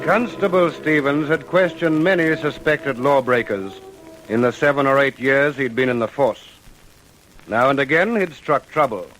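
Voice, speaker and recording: A man narrating a scripted radio drama in a measured storytelling manner. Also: mid-range voice, over music, rough recording.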